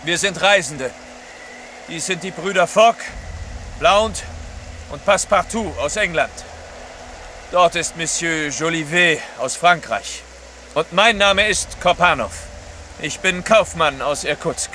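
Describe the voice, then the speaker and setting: An actor playing a bold Russian courier in disguise, decisive and commanding, in an audio drama. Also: male voice, a little high, takes it slowly, long pauses, sharp tone, a little hiss or hum. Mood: controlled. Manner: decisive, commanding, sure of himself. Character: bold, resolute Russian courier posing as merchant guide. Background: dramatic music.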